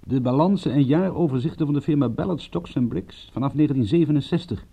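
An actor playing a sharp, persistent private detective in a radio drama, brisk, questioning and commanding, prickly and secretive. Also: male voice, a little high, gravelly, breathy edge. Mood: excited, probing.

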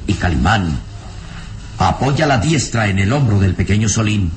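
A man's voice: lowish voice, lively intonation, moderate pace. A man narrating a radio serial in a dramatic, scripted style. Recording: vintage radio serial with music behind.

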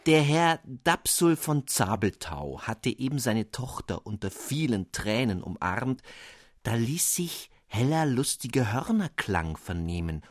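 A male narrator reads a literary tale with expressive, carefully shaped phrasing. His pitch is medium for a man. The studio sound is dry and close. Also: dry recording. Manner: precise, storytelling phrasing.

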